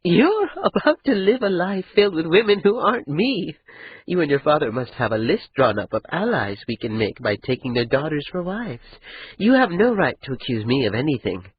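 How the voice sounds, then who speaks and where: A man voicing Ida'an, an anguished young noblewoman who is sharp and bitter, speaking in a high, strained voice. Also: sing-song, normal speed, slight background noise. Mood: accusing. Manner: high strained pleading, cutting sarcasm. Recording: dull lo-fi recording.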